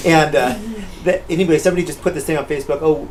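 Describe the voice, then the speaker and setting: A man's voice: on the high side, normal speed, a crowd in the background. A middle-aged American man hosting a vlog, talking in a casual narrating and chatting style. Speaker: vlog host.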